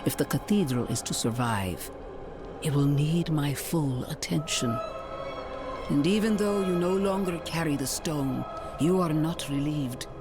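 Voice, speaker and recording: Regal, stern older woman of the cloth, fantasy game, imperious slow delivery over clear score. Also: deep voice. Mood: solemn, conciliatory. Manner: formal, deliberate, imperious. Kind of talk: dramatic video game acting. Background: cinematic background music.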